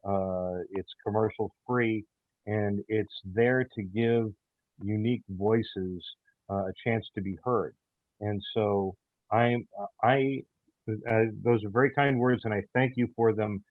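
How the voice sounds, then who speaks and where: A man in a casual panel chat, speaking as an audio theater producer in a halting, measured way. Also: lowish voice, no echo. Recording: muffled, phone-like thin audio.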